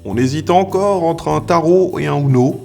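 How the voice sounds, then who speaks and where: A man playing a laid-back, slightly hesitant company boss running a meeting in a comedy audio drama, with a gravelly voice. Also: a little high, normal speed, darkish tone, faint music behind. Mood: deadpan official.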